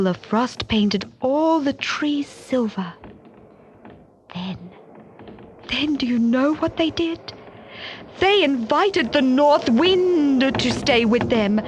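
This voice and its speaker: A woman's voice: high voice, very noisy. A woman reading a children's story expressively, with dramatic repeats and questions to young listeners.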